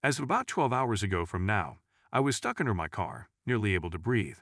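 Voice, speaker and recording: A male storyteller narrates a scripted first-person account. His voice sits fairly low in pitch. The recording is dry, with no audible room around the voice. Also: sing-song, a little fast, fairly bright tone, dry recording.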